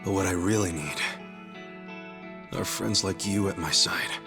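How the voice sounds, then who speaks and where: A brash but big-hearted low-ranking gangster in a dramatic video game. His voice sits fairly low, and he talks in an earnest, informal, emotional way, with music playing underneath. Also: unhurried, creaky. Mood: sincere, grateful. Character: brash, warm-hearted low-ranking yakuza hero, adult man.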